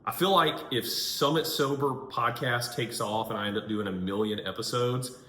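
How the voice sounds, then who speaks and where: A man's voice: mid-range voice, normal speed, sharp tone. A man hosting a podcast and interviewing a guest in a casual, conversational way. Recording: echoey room, clear background noise.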